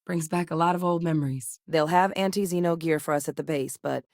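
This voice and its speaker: Composed military scientist, female, for a sci-fi game: lowish voice, serious and measured, dry close recording. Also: a little mellow, no echo. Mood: composed, friendly. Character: composed, duty-driven adult woman soldier-scientist in space. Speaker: video game voice actress. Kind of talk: game character dialogue lines.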